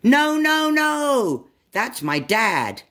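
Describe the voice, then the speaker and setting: A woman's voice: high voice, very animated, drawn-out words, a bit bright, pitched above the performer's own voice, full character voice. A woman reading a storybook aloud as a lost, upset young monkey who protests in exasperation. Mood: indignant.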